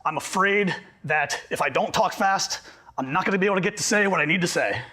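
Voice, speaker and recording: A man presenting a workshop to a group, talking fast and animated, slightly hoarse from losing his voice. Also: high voice. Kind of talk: live workshop talk to a group.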